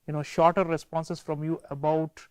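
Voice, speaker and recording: A man hosting a panel discussion, speaking in a measured way with frequent pauses and turning to address his viewers. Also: on the high side, little pitch movement, clear, resonant tone, dry recording. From India. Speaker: show host.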